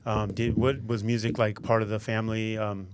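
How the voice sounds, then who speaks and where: A man's voice: fairly low pitch, little pitch movement, moderate pace, background noise. A man hosting a podcast interview, eager and casual, often restarting his questions. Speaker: podcast host.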